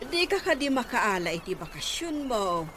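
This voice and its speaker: A woman's voice: fairly high pitch, very animated, normal speed. A woman playing an older provincial mother who fondly pleads with her grown daughter, in Ilocano-accented radio drama acting. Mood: pleading, fond. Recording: noisy radio drama recording.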